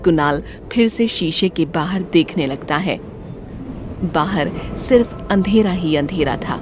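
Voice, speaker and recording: Woman reading a spooky story, lowish voice, really expressive narration, bit of music behind. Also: a little slow. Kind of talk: scripted dramatic horror story narration.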